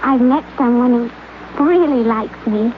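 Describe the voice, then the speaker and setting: A young actress plays a gentle, trusting young woman who speaks softly and stumbles over her words in a 1950s radio drama. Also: a little high, a little slow, lightly acted. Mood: tender. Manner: hesitant, soft-spoken, stumbles over words. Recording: tinny, hissy old radio broadcast.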